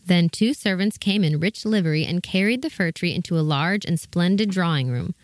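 A woman's voice: on the low side, fairly quick, dry recording, studio quality. A woman reading scripted storybook narration as the narrator of a fairy tale.

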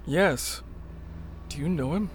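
A man's voice: on the high side, slight background noise. A man playing an injured, stubborn rebel agent in a sci-fi radio drama, reasoning insistently. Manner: insistent, measured explaining.

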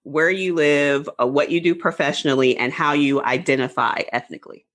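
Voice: mid-range voice, steady tone, fairly quick, fairly bright tone. A podcast host introducing a guest and asking questions in an upbeat, encouraging way. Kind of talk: podcast interview.

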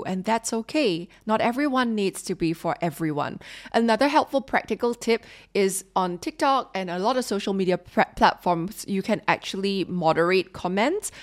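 A woman in her thirties from Singapore with a Singaporean English accent, hosting a candid solo Q&A podcast and giving frank, practical tips, sometimes slipping into colloquial Singlish. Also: mid-range voice. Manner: code-switches into colloquial Singlish. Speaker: podcast host.

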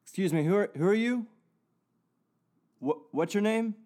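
A man's voice: sits high, normal speed, mellow. A man hosting a comedy podcast, rambling and hesitant as he talks. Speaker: podcast host.

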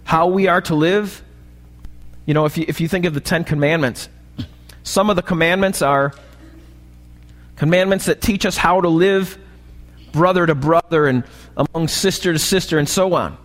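A male pastor with an American accent and a slightly raspy voice, preaching a sermon and often checking in with short questions to the congregation. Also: fairly high pitch, moderate pace. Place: church, preaching live. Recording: live church recording, long hall reverb.

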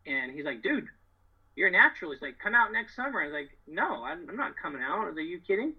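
A middle-aged American man with a husky voice, telling stories as a podcast guest in casual, slangy, emphatic, boastful talk. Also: high voice, sing-song, fast, dull audio. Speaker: podcast guest, door-to-door solar salesman. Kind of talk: casual, animated storytelling.

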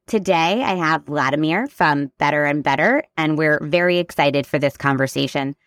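A woman's voice: low voice, normal speed, a bit bright, no room sound, clean studio sound. A woman hosting a business podcast, welcoming her guest in an upbeat voice and asking questions casually with plenty of "you know". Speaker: podcast host. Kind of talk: scripted intro, then casual interview.

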